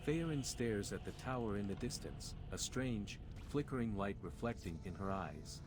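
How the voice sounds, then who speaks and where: A man reading scripted, descriptive narration for fantasy game scenes in a measured way. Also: on the low side, expressive, close to an everyday voice. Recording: clear background noise.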